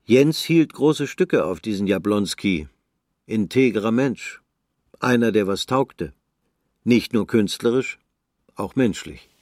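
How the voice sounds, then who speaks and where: A man narrating a literary radio play in a measured, even way. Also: fairly low pitch, no room sound, studio quality.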